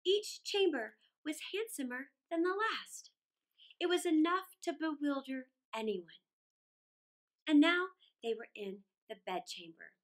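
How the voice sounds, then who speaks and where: Female storytime reader, middle-aged, very high and lively, unhurried, dramatic phrasing. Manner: theatrical, deliberate phrasing. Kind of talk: expressive read-aloud storytelling for children. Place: small plain-walled room indoors.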